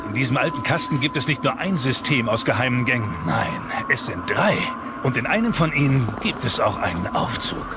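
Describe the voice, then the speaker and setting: Mafia killer for horror audio drama, played by a man: mocking, menacing, very lively pitch, heavy music underneath. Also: brisk. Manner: sneering, threatening. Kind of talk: German audio drama acting. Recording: loud music and effects behind the voice.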